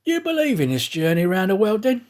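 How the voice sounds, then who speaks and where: Man acting a guarded, uneasy detective, pitched up. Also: huge pitch swings, fairly quick, a little mellow. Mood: probing. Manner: hesitant, evasive. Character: guarded, uneasy male detective concealing his purpose.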